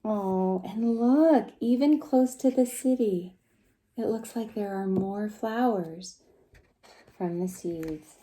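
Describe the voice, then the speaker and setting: A woman reading a picture book aloud to young children in an expressive, gentle way, pausing to ask them questions. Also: mid-range voice. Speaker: preschool story time reader.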